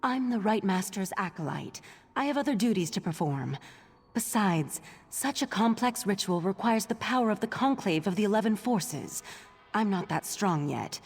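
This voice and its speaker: Husky mage acolyte, echoing hall. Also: female voice, medium pitch, expressive, normal speed. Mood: friendly, explanatory. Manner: conversational, explanatory, polite. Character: earnest, chatty young female mage acolyte, eager and bookish. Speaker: acolyte and cataloguer in a magical order. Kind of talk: scripted fantasy game dialogue. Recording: long hall reverb.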